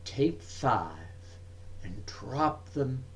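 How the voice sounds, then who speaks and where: A man giving a dramatic reading of verse, in measured, deliberate phrases broken by pauses. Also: mid-range voice, big pitch swings, slight background noise. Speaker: reader of poetry.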